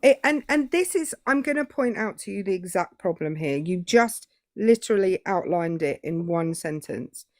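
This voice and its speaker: A woman's voice: on the low side, expressive, normal speed, few pauses, dry recording, clean studio sound. A British woman arguing politics in a live debate, cutting and sarcastic, often cutting in. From Britain.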